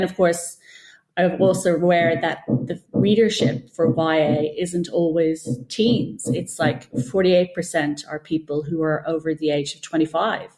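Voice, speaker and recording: A woman with a fairly low voice speaks as an interview guest. She is thoughtful and opinionated, explaining her views in long conversational runs. The sound is roomy, with noticeable echo around her. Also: darkish tone. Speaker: guest author interviewed about writing.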